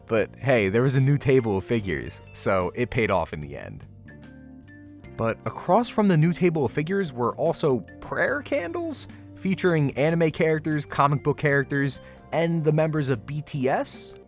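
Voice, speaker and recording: Animated man doing wry vlog voice-over. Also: mid-range voice, normal speed, faint music behind. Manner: wry, slangy, playfully exaggerating. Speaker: vlogger narrating a convention walkthrough. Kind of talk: casual voice-over commentary.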